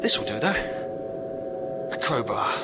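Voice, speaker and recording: An actor with a British accent playing a lonely, stranded astronaut in a radio drama, anxious, halting and incredulous. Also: male voice, medium pitch, sing-song, heavy background noise. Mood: nervous, bracing. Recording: prominent music behind the voice.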